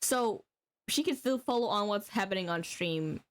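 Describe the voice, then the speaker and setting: A young woman from Denmark chatting casually on a gaming livestream, excitable and giggly, with plenty of swearing. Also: mid-range voice, normal speed. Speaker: live streamer.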